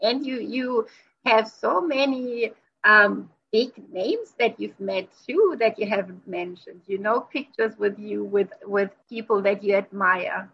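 A woman's voice: mid-range voice, a little slow, creaky, dull audio, slight background noise. A woman whose first language is German, hosting a conversational interview, enthusiastic and effusive with many "you know"s. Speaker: podcast host.

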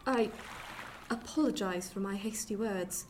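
A woman's voice: medium pitch, measured pace, darkish tone, a little hiss or hum, natural voice. A woman playing a proud, guarded wealthy lady in a period radio drama, speaking formally and with some hauteur. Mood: curious.

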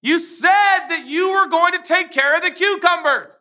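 A shrill, nagging male boss-God calls out chores in a high, loud, mock-demanding voice, as a preacher puts him on. The tone is bright and piercing, with pitch shooting well above an ordinary speaking voice. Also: normal speed, heavily acted.

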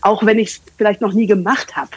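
Casting note: expressive, rambling woman in her 30s, casual interview chatter, close and dry recording. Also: medium pitch, a little fast, husky, no room sound. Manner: chatty, rambling, many fillers. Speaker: communication coach and English trainer.

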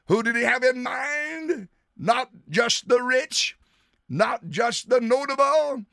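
A man preaching an impassioned evangelistic sermon on the radio, often shouting and drawing out key words, with repeated appeals to "dear sinner" and "my friend.". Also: very high-pitched, gravelly, no echo, clean studio sound.

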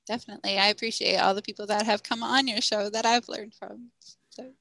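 A woman's voice: medium pitch, steady tone, brisk, gravelly, sharp tone, dry recording. A woman guest, a school counselor and author, answering interview questions in a friendly, thoughtful way with frequent ums.

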